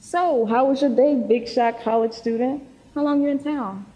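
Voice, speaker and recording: A woman playing a determined, defensive high school girl athlete in a stage play. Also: moderate pace, dark tone. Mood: casual. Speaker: stage actor. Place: theatre stage, recorded in the hall. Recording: echoey hall with long reverb.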